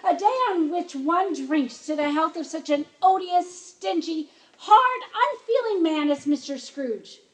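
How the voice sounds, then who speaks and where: An actress playing a sharp-tongued, indignant wife and mother in a radio play, pointed and sarcastic. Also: sits high, expressive, a little slow, few pauses, poor audio. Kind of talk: live radio play acting.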